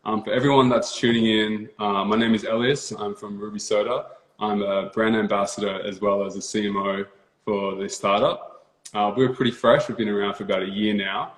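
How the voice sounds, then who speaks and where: A man hosting a live-streamed interview, casual and upbeat, laughing often. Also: on the low side. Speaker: host. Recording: echoey room.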